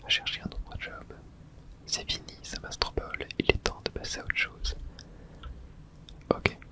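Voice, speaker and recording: Someone whispering throughout an ASMR let's play, narrating the game and reading its texts aloud in a hush. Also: measured pace. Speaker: host playing and narrating a game.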